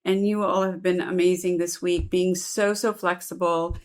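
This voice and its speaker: A woman's voice: lowish voice, little pitch movement, normal speed, a little mellow. Woman, host, fluent, long flowing sentences, indoors, gallery chat. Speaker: host, volunteer organizer. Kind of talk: interview conversation.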